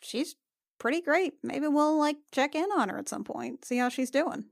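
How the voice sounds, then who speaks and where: A woman with a fairly high voice plays a tabletop roleplaying game. She talks in loose, slangy, improvised chat, and the recording is very dry. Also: expressive, moderate pace, gravelly, no room sound. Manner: slangy, conversational.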